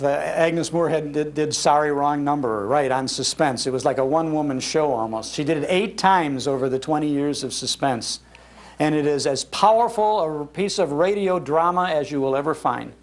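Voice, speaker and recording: An older man from Chicago gives a nostalgic talk in a Midwestern accent. His voice sits slightly high for a man and has a marked gravelly creak. He tells his stories in a folksy, animated way, repeating phrases for emphasis. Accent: American Midwestern. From Chicago, United States. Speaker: speaker giving a talk on old-time radio. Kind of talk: nostalgic storytelling to a live audience. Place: public library, live talk.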